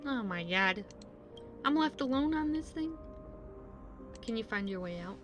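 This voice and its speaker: A woman's voice: a little high, expressive, measured pace, muffled recording, over music. A woman streaming a game with casual commentary, giving short offhand reactions and reading choices aloud.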